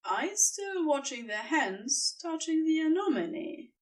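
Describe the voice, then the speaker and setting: A woman reads fiction aloud as narrator, tender and expressive. Her highish voice moves slowly with very lively intonation, picked up from slightly away from the microphone. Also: recorded from a distance.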